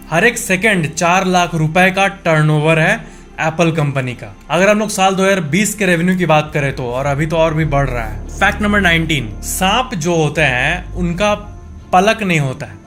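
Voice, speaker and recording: Male facts presenter, high-pitched, conversational explainer delivery addressed straight to the viewer, background music. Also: fairly bright tone. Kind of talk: informal explanatory voice-over narration.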